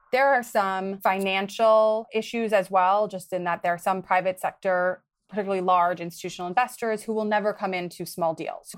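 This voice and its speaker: A young woman from Wisconsin talks as a podcast guest, earnest and conversational. Her voice sits at an ordinary middle pitch. She gives advice in a frank way. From Wisconsin, United States. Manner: earnest, advice-giving, occasional laughs. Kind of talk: conversational interview.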